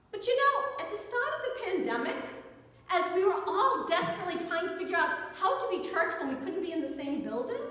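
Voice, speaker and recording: An American woman priest giving a sermon in a conversational way, with rhetorical questions and emphatic pauses. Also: very high voice, sharp tone. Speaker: Episcopal priest preaching. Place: church interior. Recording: very echoey church with long reverb.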